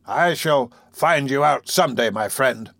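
A man's voice: mid-range voice, measured pace, creaky, fairly bright tone, dry recording. A man voicing a blustering, irritable doctor in an audiobook, brusque and bustling. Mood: curt. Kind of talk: audiobook character voice.

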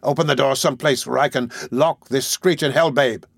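A man's voice: medium pitch, brisk, no room sound, clean studio sound, natural voice. A man voicing a desperate, violent murderer in a storybook, with fierce, commanding outbursts. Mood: fierce, urgent.

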